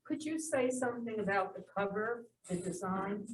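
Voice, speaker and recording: A woman's voice: medium pitch, animated, moderate pace, noisy background. Woman asking a question from far off. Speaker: audience member asking questions. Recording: echoey room from far off, with typing and clicks.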